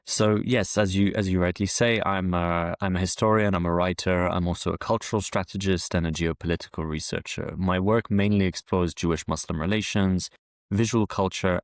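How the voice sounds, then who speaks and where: A man born in France, a historian answering interview questions at a measured pace, often restarting phrases with fillers. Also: low voice, dry recording, clean studio sound. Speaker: podcast guest, historian. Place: London, UK.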